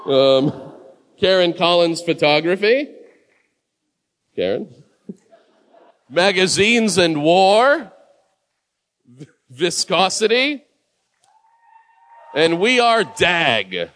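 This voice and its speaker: A man's voice: high voice, sing-song, extremely slow, long pauses, fairly bright tone, a little hiss or hum. Wisecracking man hosting an awards show. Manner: wisecracking, offhand, swears. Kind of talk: live awards announcing. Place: big room, on a mic.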